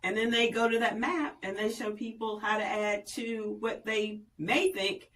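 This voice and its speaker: A woman's voice: medium pitch, steady tone, fairly bright tone, far from the mic, slight background noise. Polished woman guest, a little off-mic. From Virginia. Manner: polished, promotional, measured. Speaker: tourism official guest. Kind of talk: radio interview.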